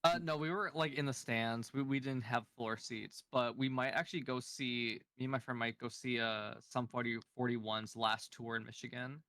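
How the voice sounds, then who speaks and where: A man chatting casually on a stream, his voice hoarse and strained after screaming at a concert, laughing and swearing freely. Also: medium pitch, fairly even intonation, normal speed, hardly any pauses, a bit bright, no echo. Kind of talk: casual chat.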